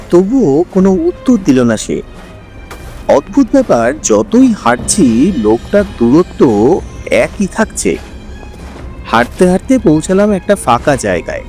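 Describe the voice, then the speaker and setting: A man narrating a scripted first-person horror story, dramatic and suspenseful, in deliberate phrases broken by pauses. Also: high-pitched, sing-song, darkish tone, soft background music.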